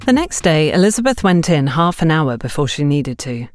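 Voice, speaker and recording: A woman's voice: low voice, sing-song, normal speed, a little mellow, soft background music. A woman reading a scripted mystery story aloud as an audiobook narrator.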